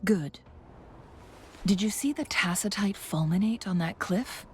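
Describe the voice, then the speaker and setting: A woman playing a composed, serious young companion in a video game, speaking in a measured, thoughtful, earnest way. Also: mid-range voice, darkish tone, lightly acted. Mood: focused, matter-of-fact. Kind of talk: scripted video game character dialogue. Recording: voice over game music.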